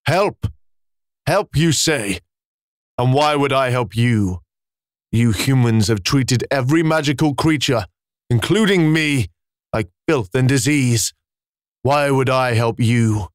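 A man's voice: medium pitch, takes it slowly, long pauses, no room sound, lightly acted. Huge wolf-like beast, scornful and commanding, a man reading. Dry storybook read. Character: huge ancient wolf-like guardian beast, proud.

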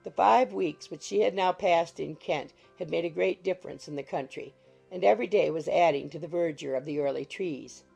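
A woman reading scripted literary narration from a classic novel as an audiobook narrator, in a husky, creaky voice. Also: fairly low pitch, normal speed, a little mellow, rough recording. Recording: music behind the voice. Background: music.

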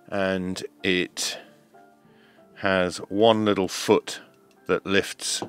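Slow, low-voiced man giving a tutorial. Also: a bit bright. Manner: measured, explanatory, step by step. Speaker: host of an electronics teardown channel. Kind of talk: hands-on tutorial commentary.

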